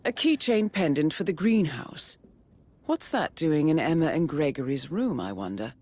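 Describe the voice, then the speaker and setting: Cool analytical handler. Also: female voice, low-pitched, very animated, a little mellow. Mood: thoughtful. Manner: measured, analytical. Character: calm female handler guiding an assassin by radio. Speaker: mission handler. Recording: music behind.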